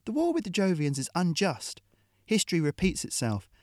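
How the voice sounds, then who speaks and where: A man's voice: high voice, wildly swooping pitch, normal speed, a little mellow, no echo, clean studio sound, voice pushed up. A man putting on a sneering brutal mercenary woman. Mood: contemptuous. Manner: sneering, taunting.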